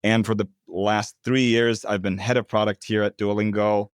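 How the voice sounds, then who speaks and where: A man in his thirties from Turkey, a product executive calmly explaining his work in an interview. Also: fairly low pitch, clean tone, no room sound, clean studio sound. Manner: measured, explanatory. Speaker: head of product, guest. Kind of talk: interview answers.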